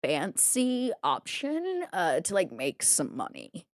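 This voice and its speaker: A woman's voice: on the low side, huge pitch swings, slow pace, dry recording, studio quality, heavily acted. Woman putting on a ditzy, haughty girly crime boss. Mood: breezy, unimpressed. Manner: valley-girl filler talk, casually haughty.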